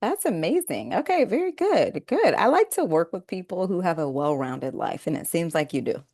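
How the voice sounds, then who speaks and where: A woman's voice: fairly low pitch, sing-song, normal speed, darkish tone, no room sound, studio quality. A woman hosting a webinar interview, enthusiastic and upbeat. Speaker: host.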